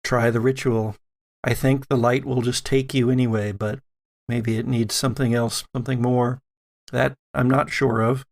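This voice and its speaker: A man voicing a calm, cryptic woman guide in a tabletop game, speaking in a halting, measured way. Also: medium pitch, natural voice. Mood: grave, uncertain.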